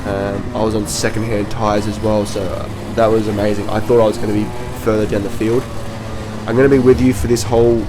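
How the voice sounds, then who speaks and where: A man with a slightly hoarse, worn voice casually talks through footage of his kart race, rambling with lots of ums and restarts. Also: on the low side, little pitch movement, a little fast, noisy background. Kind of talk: casual commentary over race video.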